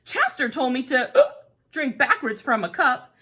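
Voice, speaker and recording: A woman's voice: high-pitched, sing-song, unhurried, fairly bright tone, husky, pitched above the performer's own voice, exaggerated voice. A woman voicing a polite, fussy male penguin who talks chattily with lots of hesitant fillers. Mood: flustered.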